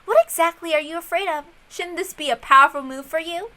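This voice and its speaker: A woman acts out a smug young lady detective from a visual novel, scheming and sure of herself. The voice is high and bright, with a taunting delivery. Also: brisk. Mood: confident, taunting.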